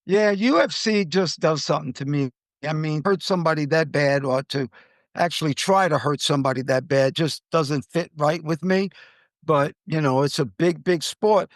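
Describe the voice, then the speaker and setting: A man's voice: on the high side, moderate pace, no room sound, clean studio sound. An American man hosting a podcast, giving conversational commentary on the news. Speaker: podcast host.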